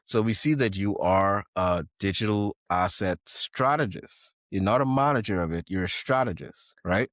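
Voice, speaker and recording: A man's voice: fairly low pitch, moderate pace, few pauses, fairly bright tone, no room sound, clean studio sound. A man hosting a podcast, chatting casually and rambling with lots of "like" and frequent laughter. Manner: rambling, heavy use of "like", laughs a lot. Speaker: podcast host.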